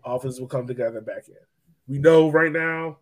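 A man's voice: mid-range voice, normal speed. A man co-hosting a podcast, chatting casually about sport in an emphatic way, repeating himself for effect. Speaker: podcast co-host. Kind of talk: casual sports chat and analysis.

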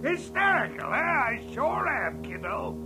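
Shrill, cackling old prankster. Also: male voice, big pitch swings, a little slow, creaky, loud music behind the voice, heavily acted. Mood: gleeful mockery. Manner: cackling, mocking, theatrical. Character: gleeful, cruel old millionaire prankster, male. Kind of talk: 1940s radio acting. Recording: old radio drama recording.

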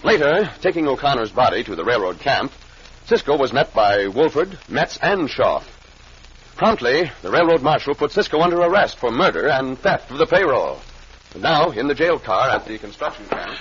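A man's voice: mid-range voice, big pitch swings, normal speed, fairly bright tone, husky. A man narrating an old-time radio serial as its announcer, in a dramatic scripted style. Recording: muffled vintage radio recording.

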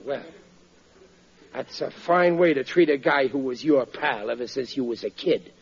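A man's voice: medium pitch, moderate pace, slightly rough. An actor playing a blustering, boastful husband and father in a 1940s radio sitcom. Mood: indignant bluster. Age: middle-aged. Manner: blustering, indignant, ungrammatical. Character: blustering, boastful, good-natured working-class husband and father. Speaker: comedy lead actor. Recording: muffled vintage radio recording.

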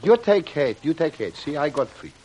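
Bumbling henchman, highish voice, broken English. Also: very animated, normal speed, darkish tone, breathy edge. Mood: grumbling. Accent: put-on foreign, broken English. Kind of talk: old-time radio adventure acting. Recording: noisy old radio recording with clicks.

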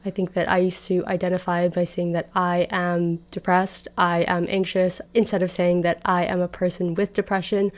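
A woman's voice: fairly low pitch, flat delivery, moderate pace, few pauses. A woman in her twenties hosting a solo podcast, talking through life advice in an earnest, chatty and reflective way into a podcast microphone. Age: 20s. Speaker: podcast host.

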